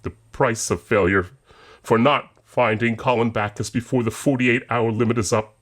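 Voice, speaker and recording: An actor playing a grave American president giving a measured, formal address in an audio drama. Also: male voice, mid-range voice, fairly even intonation, close to an everyday voice. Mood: solemn, resolute. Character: solemn male American president addressing the nation.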